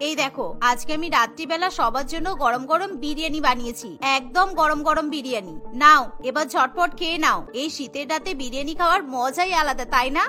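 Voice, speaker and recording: A woman's voice: high voice, fairly quick, hardly any pauses, bright, forceful tone, soft background music. A woman voicing an earnest, flustered young daughter-in-law in a cartoon. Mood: cheerful. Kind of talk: cartoon voice acting.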